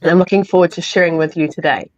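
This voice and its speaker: A woman with a New Zealand accent, sounding croaky from illness, chatting through a live crafting tutorial step by step. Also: lowish voice, steady tone, fast talker, no room sound, muffled recording. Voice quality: slightly hoarse. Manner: chatty, explains step by step with fillers. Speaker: craft demonstrator.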